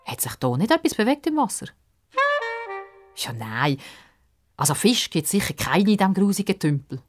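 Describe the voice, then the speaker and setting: Tiefere Frauenstimme, Schweizer Märchenerzählerin. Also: female voice, fairly low pitch, very animated, normal speed, soft background music. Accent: Swiss. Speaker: storyteller. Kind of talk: expressive scripted narration of a children's story.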